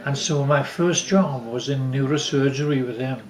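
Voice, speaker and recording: An older man casually telling family stories in a halting, rambling way. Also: medium pitch, roomy sound, poor audio. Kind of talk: casual reminiscing.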